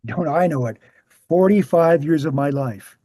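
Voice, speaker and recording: A man playing an earnest, weary veteran researcher explaining things in a measured way at a screenplay table read. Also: on the high side, dark tone, dry recording, clean studio sound, lightly acted. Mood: resigned.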